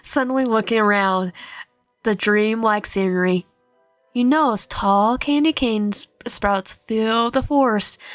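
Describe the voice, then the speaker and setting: A woman's voice: mid-range voice, no room sound. A woman reading a visual novel aloud with casual asides, stumbling over words now and then. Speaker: video game streamer. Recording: music behind the voice. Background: music.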